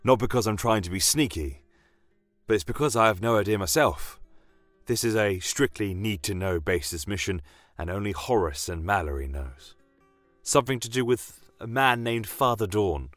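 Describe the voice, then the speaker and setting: A man's voice: lowish voice, animated, moderate pace, a bit bright, dry recording, faint music behind. A man voicing a blunt, joking soldier with a colloquial, matey manner in a fantasy audio story. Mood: friendly.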